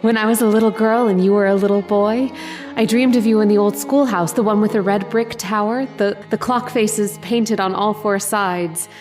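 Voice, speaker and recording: A woman plays a young dream sweetheart in an old-time radio horror play. She is adoring and possessive, coaxing in an intimate, mid-pitched voice over soft background music. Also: steady tone, normal speed, close to an everyday voice. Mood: adoring, wistful.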